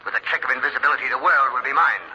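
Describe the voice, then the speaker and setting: An actor playing a menacing, megalomaniac professor with a formal, gloating delivery in an old-time radio drama. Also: male voice, mid-range voice, racing, pushed and bright, husky, heavily acted. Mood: smug menace. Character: villainous professor, megalomaniac murderer posing as a vigilante. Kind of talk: 1940s radio drama acting. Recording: muffled old radio recording.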